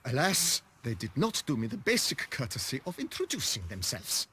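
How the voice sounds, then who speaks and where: A fussy, deferential manservant from a fantasy game. His voice is bright and swings dramatically up and down, formal and flustered, with slight noise behind it. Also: mid-range voice, wildly swooping pitch, normal speed. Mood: flustered, apologetic. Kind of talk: video game cutscene acting.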